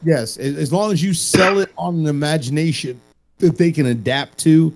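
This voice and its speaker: Emphatic, higher-voiced man chatting. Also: moderate pace. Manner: emphatic, profane, opinionated. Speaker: podcast panelist. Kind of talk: casual panel chat.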